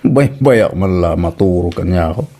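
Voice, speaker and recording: Comedy drama role: man as fussy Ilocano family father, dark soft tone, protective, full of exclamations. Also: low voice, lively intonation, normal speed, a little hiss or hum. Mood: fussy, grumbling. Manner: exclamatory, repeating short interjections. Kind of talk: comedy radio drama acting.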